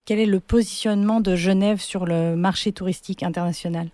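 A woman's voice: mid-range voice, fairly even intonation, fairly quick, mellow, no room sound, studio quality. A woman working as a journalist, putting composed, probing questions in a news interview.

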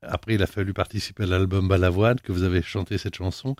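A man's voice: low voice, fairly even intonation, fast, darkish tone, no room sound, studio quality. A man hosting a radio interview, chatty and teasing with his guest. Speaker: radio host. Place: radio studio.

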